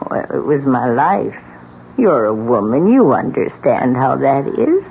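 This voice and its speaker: An actress playing an old widow in a radio drama, grave, insistent and full of dramatic warnings. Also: female voice, very deep voice, big pitch swings, vocal fry, full character voice. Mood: wistful, sad. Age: older. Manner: grave, dramatic, slow pronouncements. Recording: dull, noisy old radio recording.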